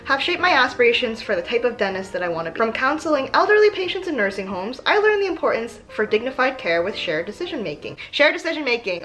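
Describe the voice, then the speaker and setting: Female advice vlogger, twenties: higher-pitched, swooping intonation, slangy, self-mocking delivery. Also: fairly bright tone. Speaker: vlog host giving application advice. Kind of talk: casual advice vlog with read-aloud passages.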